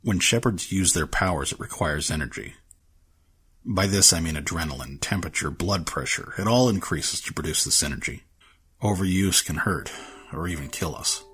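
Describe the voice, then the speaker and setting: A man playing a gruff, steady male soldier with powers in an audio drama, his voice gravelly and husky. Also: low-pitched, moderate pace. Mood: serious, explanatory. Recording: music under the voice.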